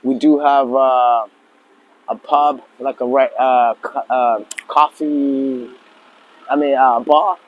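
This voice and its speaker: A man in his forties from Tanzania, a lodge owner speaking as an interview guest in a relaxed, halting way with many fillers and restarts. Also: medium pitch, highs cut off, slight background noise. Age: 40s. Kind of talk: casual interview talk.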